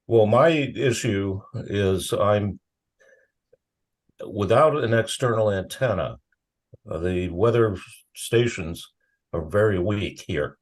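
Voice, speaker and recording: Slow-talking, low-pitched man. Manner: halting, pauses mid-thought. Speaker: meeting participant asking questions. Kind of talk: casual meeting discussion.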